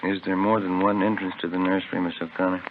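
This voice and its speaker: A man playing a steady, practical police detective in an old-time radio drama, polite and businesslike. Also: low voice, fast talker, natural voice. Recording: tinny, noisy old radio recording.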